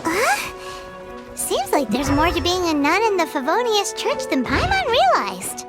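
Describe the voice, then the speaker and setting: A woman's voice: extremely high pitch, huge pitch swings, unhurried, exaggerated voice. A woman voicing a small, childlike, chirpy and inquisitive pixie companion in an anime-style video game. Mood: curious, puzzled. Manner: refers to herself in third person, exclamatory. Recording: game music playing behind the voice.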